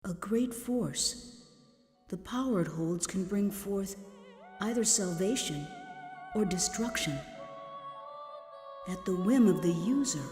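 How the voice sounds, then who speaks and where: A woman voicing a kind, idealistic young hero in a game cutscene, speaking with gentle, thoughtful sincerity. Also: mid-range voice, lively intonation, slow pace, long pauses. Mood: grave, thoughtful. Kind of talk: dubbed game cutscene acting. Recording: echoey long reverb under loud music.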